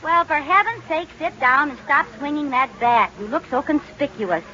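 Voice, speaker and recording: An actress playing an eager, scatterbrained American housewife in an old-time radio comedy. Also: female voice, sits high, animated, normal speed, pushed and bright. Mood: breezy, teasing. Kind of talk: 1940s-50s radio comedy acting. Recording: noisy, muffled old radio recording.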